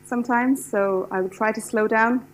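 A middle-aged woman from Ireland with an Irish accent, lecturing a class in a hall, talking fast and eagerly with many fillers and restarts. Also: on the high side, slight background noise. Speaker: lecturer, standards specialist. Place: lecture hall with seated audience.

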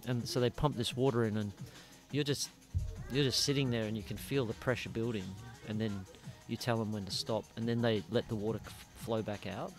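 A man's voice: on the low side, fairly even intonation, a little fast, few pauses, soft background music. An Australian man hosting a casual podcast chat in a small studio, talking in a rambling way and swearing freely. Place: small dim studio room. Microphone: studio mic on boom arm, headphones.

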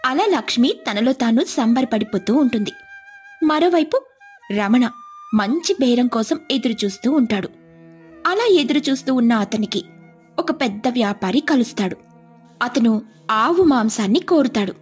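A woman's voice: on the high side, lively intonation, normal speed, pauses often, husky, soft background music. A woman storyteller reading a scripted moral tale as narration.

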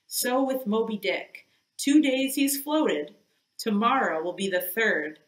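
A woman's voice: on the high side, lively intonation, takes it slowly, pitched above the performer's own voice. A woman reading an audiobook, voicing an obsessed old male sea captain who declaims with grim, imperious force, in an echoey room. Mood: commanding.

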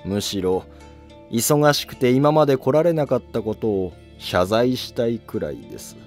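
A man's voice: medium pitch, big pitch swings, unhurried, a little mellow, faint music behind. A man playing an ambitious, guarded courtier with controlled, courteous speech in a historical drama. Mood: smoothly polite. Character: ambitious male Fujiwara courtier, smoothly polite, guarded schemer. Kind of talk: scripted historical drama dialogue.

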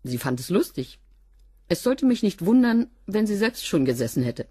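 A woman's voice: mid-range voice, sing-song, moderate pace, mellow, husky, dry recording, clean studio sound. A woman playing a guarded housekeeper with a past in prison, giving curt answers in a radio play.

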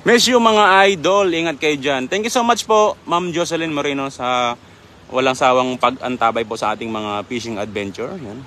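A man's voice: mid-range voice, normal speed, a bit bright, slight background noise. A young man hosting a live fishing stream outdoors by a river, greeting viewers by name in a chatty, polite manner, speaking into a handheld phone. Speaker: livestream host.

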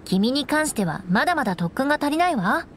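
A woman's voice: sits high, very animated, fairly quick, fairly bright tone, a little hiss or hum. A young woman acting a proud, composed noblewoman knight in an anime game, strict but caring, with elegant, instructing delivery. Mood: cool, appraising. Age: young adult. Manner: poised, elegant, haughty, instructing.

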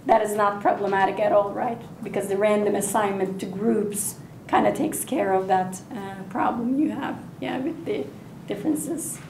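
A young woman presenter explains her methods patiently and clearly. Her voice sits at a middle pitch. The sound comes from a far camera in an echoey seminar room. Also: normal speed, a little mellow, a little hiss or hum. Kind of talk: academic Q&A answer.

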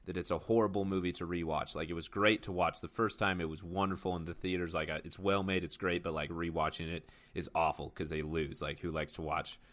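Young man, very deep voice, bright forceful tone, rambling casual chat, lots of "like". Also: little pitch movement, fairly quick, hardly any pauses. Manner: rambling, heavy use of "like". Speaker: podcast co-host, guest.

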